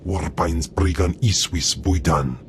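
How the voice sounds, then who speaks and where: Deep, slow alien creature. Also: male voice, a little hiss or hum. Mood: grim.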